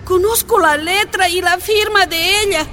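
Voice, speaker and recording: An actress playing a young pregnant miner's wife in a radio drama, pleading and sobbing. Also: female voice, very high voice, moderate pace, bright, forceful tone, slight background noise. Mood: defiant. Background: dramatic music.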